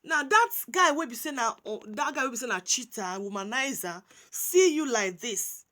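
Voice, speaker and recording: A Nigerian woman with a high voice, giving animated casual commentary that slides between English and Pidgin, with frequent restarts and repeated words. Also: moderate pace. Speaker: commentary vlogger.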